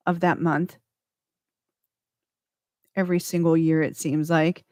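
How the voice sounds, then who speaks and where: A woman radio host talks slowly and casually between songs, drifting off and correcting herself as she goes. Her voice sits at a middle pitch and sounds close and dry, with no room around it. The talk is unhurried and loose. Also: no room sound. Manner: slow, rambling, self-correcting.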